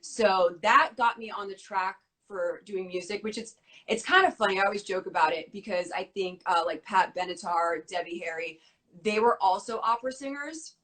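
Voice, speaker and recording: A woman's voice: medium pitch, a bit bright. Young woman guest, chatty, says like a lot, voice kinda shot from losing it. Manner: fast, bubbly talk with many "like" fillers. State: losing her voice. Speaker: interview guest. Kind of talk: casual interview chat.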